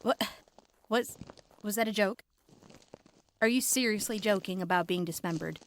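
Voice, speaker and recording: A woman playing a sharp-tongued but caring wasteland traveler in an audio drama, speaking in a natural, conversational way. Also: medium pitch, moderate pace, a little hiss or hum, lightly acted. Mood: annoyed. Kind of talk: post-apocalyptic audio drama acting.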